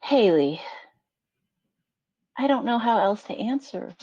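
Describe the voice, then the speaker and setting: A woman's voice: mid-range voice, animated, takes it slowly, dark tone, husky, dry recording, lo-fi sound, full character voice. A woman playing a worried, loving mother in a dramatic table read. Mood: tender, worried. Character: worried loving mother of a troubled daughter, later terrified.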